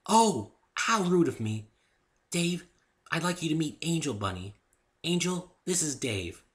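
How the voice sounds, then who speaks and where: A man voicing a meek, gentle young female pony, polite and apologetic, in a dramatic story reading. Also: a little high, sing-song, a little slow, voice pushed up. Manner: meek, soft-hearted, apologetic.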